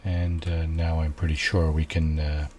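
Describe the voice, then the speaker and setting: A soft-spoken man with a very deep voice narrates his gameplay slowly, often pausing on fillers as he thinks aloud. He speaks quietly into a desk mic, with faint game noise and typing behind him. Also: level tone. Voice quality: low, soft voice. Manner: quiet and unhurried, musing aloud with many fillers. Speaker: gameplay streamer. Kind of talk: casual let's-play commentary.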